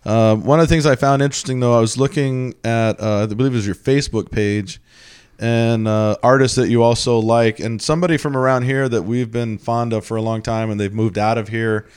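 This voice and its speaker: Clear-voiced male radio host chatting casually. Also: mid-range voice, fairly even intonation, a little fast, a little mellow. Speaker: radio show host interviewing a band. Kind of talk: casual chat.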